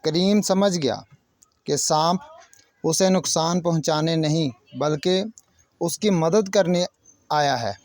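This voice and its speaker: A man's voice: a little high, long pauses, darkish tone, no echo. A man narrating a moral story for children like a teacher, speaking directly to young listeners in short separated phrases. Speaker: children's storyteller and channel host.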